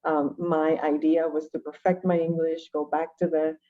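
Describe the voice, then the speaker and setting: A woman's voice: deep voice, level tone, moderate pace. A woman from Venezuela, a native Spanish speaker, tells her own story conversationally and reflectively, often checking in with rhetorical questions. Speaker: guest, education nonprofit director. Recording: dull, lo-fi call audio.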